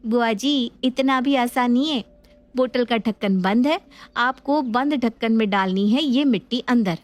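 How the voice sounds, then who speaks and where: Patient young wife played by a woman, earnest explainer, high-pitched. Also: measured pace, no echo, close to an everyday voice. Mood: explaining. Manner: earnest, persuasive. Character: young newlywed daughter-in-law, patient and modern-minded. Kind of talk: Hindi family drama acting.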